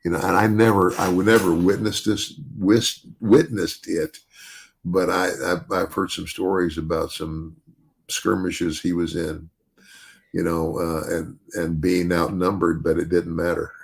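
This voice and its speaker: An older man with a deep bass voice, chatting casually as a podcast host, rambling with many restarts and "you know". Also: level tone, a little slow, vocal fry. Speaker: podcast host, retired wrestler.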